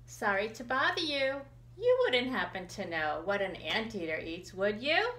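A woman's voice: fairly high pitch, sing-song, normal speed, a bit bright. A woman voicing a polite, earnest, hungry anteater in a picture book read aloud, courteous and questioning. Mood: polite, curious.

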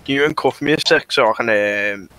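Bright-voiced cheeky British suspect played by a man. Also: mid-range voice, fast talker, natural voice. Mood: insistent. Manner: blunt, slangy, mocking. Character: cheeky, mouthy young male suspect under arrest. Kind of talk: casual online police roleplay improvisation.

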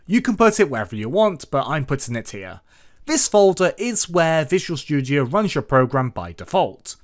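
A man's voice: fairly high pitch, fairly bright tone. A man hosting an instructional screencast, narrating step by step with emphatic, sing-song presenter delivery. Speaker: tutorial host.

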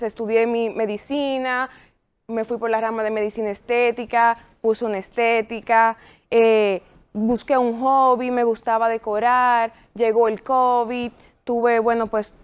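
A woman's voice: on the high side, clean tone. A woman in her thirties, a guest on a TV studio show, answering questions cheerfully and laughing often. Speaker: guest, pastry business owner. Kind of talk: interview answers.

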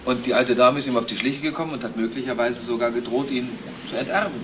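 A man's voice: medium pitch, fast talker, background noise, close to an everyday voice. A man playing an earnest, thorough police investigator in a German crime radio play, explaining things matter-of-factly. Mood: speculating.

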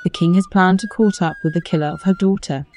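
A woman's voice: fairly low pitch, fairly even intonation, brisk, a little mellow. A woman narrating a scripted folktale as a storyteller. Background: music under the voice.

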